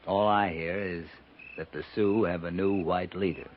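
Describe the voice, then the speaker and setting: A man playing a steady, weary cavalry captain in a 1950s radio western, speaking in a measured, dry, understated way. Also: sits low, natural voice. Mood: dry, resolute. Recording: dull, noisy 1950s radio recording.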